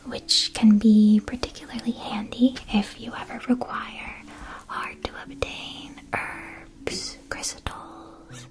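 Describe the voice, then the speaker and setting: A woman playing a gentle, attentive witch school shopkeeper in an ASMR roleplay, speaking in a soft, breathy whisper with careful, polite phrasing. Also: on the high side, level tone, slow pace. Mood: gentle, informative.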